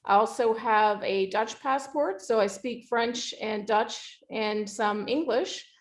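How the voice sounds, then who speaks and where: Higher-voiced woman in an echoey room. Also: slow pace, slight background noise. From Toronto, Canada. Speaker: realtor. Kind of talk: self-introduction in a meeting. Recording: echoey room over video call.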